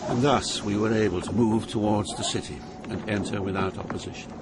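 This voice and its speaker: An actor playing an awed poet who recounts his journey through Hell in measured, literary dramatic narration. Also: lowish voice, steady tone, heavy background noise, lightly acted. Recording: loud ambient sound effects behind narration.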